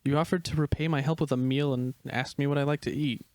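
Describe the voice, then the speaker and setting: A man playing an earnest, gentle young gray wolf, shy and devoted, in a dramatic reading of a screenplay. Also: medium pitch, steady tone, quick pace, no echo, close to an everyday voice. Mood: earnest, confessional.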